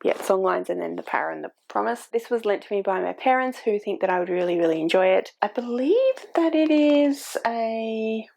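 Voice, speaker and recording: A woman's voice: medium pitch, expressive, normal speed, creaky, hardly any pauses, darkish tone. A woman in her forties chatting casually at home about books, rambling with frequent ums and self-corrections. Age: 40s. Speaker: book YouTuber. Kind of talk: casual booktube chat. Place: home, with a cat on the microphone. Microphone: desk microphone.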